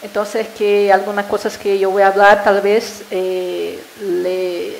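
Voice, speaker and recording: A woman's voice: mid-range voice, little pitch movement, takes it slowly, creaky, a little hiss or hum, rough recording. Brazilian woman speaking accented Spanish. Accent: Brazilian Portuguese. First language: Portuguese. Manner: hesitant, many fillers and tag questions. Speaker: invited speaker at a university panel. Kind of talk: academic talk.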